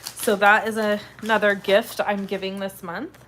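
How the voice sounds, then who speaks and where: A woman with a stuffed-up head cold hosting a live card-making tutorial in a chatty, upbeat way, sniffling and clearing her throat now and then. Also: medium pitch, little pitch movement, normal speed, a bit bright, slight background noise. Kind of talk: casual live tutorial chat.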